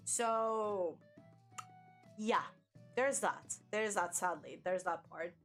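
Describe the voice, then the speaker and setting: A woman's voice: medium pitch, drawn-out words, faint music behind. A woman in her thirties, a native Turkish speaker, chatting casually on a livestream in a rambling, self-mocking way and swearing now and then. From Turkey. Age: 30s. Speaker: VTuber streamer.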